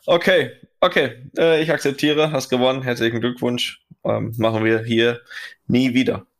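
A man with a gravelly, creaky voice at a mid pitch co-hosts a podcast. He talks in a loose, teasing way and reads listener emails aloud between banter. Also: normal speed. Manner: relaxed, teasing, laughs easily. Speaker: podcast co-host. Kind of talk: casual chat, reading out listener mails.

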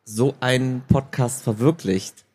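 A man's voice: mid-range voice, a little slow, a little mellow, slightly rough. A man hosting a casual live stage interview, asking questions in a chatty, teasing way. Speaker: interviewer, audiobook editor. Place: book fair stage.

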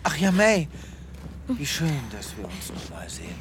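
An actor playing a melodramatic, self-pitying animal doctor in an audio drama, speaking theatrically. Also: male voice, on the high side, huge pitch swings, a little slow, background noise. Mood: despairing. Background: music.

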